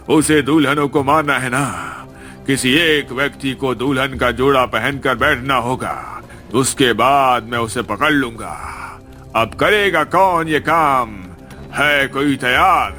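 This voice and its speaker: A commanding village holy man, played by a man in a horror cartoon. He declaims slowly and sternly in a forceful, sharp-edged voice, with music underneath. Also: mid-range voice, voice pushed up. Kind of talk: Hindi horror cartoon story acting.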